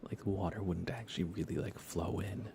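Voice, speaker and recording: A man with a low voice and a crackly fry murmurs his way through an ASMR game stream. He is gentle and unhurried, often slipping toward a whisper as he rambles, with noticeable ambient noise behind him. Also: fairly even intonation. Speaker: livestream host. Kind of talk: soft-spoken ASMR game livestream chat.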